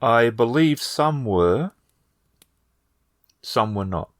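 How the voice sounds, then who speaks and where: A man voicing a plainspoken village man in a feudal fantasy Japan tabletop game, speaking in a measured, formal, halting way. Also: mid-range voice, expressive, no echo, clean studio sound.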